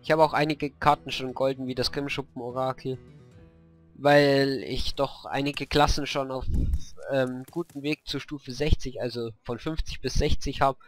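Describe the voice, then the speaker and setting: A man with a cold and a hoarse voice, casually commentating a card game and thinking aloud about his moves, with many fillers. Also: medium pitch. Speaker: gameplay commentator. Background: faint background music.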